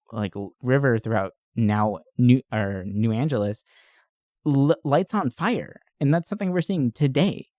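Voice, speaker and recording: A man's voice: medium pitch, mellow, dry recording, studio quality. A man hosting a podcast, chatting casually and informally about entertainment, with loose, slangy phrasing. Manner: informal, frequent "like" and "you know", occasional swearing. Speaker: podcast host. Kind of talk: casual podcast chat about movies and games.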